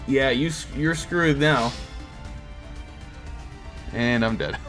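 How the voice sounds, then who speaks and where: A man's voice: medium pitch, very slow, a bit bright, faint music behind. Easygoing Portuguese-accented male streamer. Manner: easygoing, chatty, reacts to chat. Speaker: streamer hosting a card game tournament. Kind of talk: casual live-stream chat reading viewer comments.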